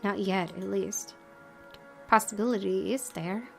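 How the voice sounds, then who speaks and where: A woman with a mid-pitched voice does let's play commentary and reads story text aloud. Game music is clearly audible behind her. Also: a little slow, a bit bright. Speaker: gaming video host.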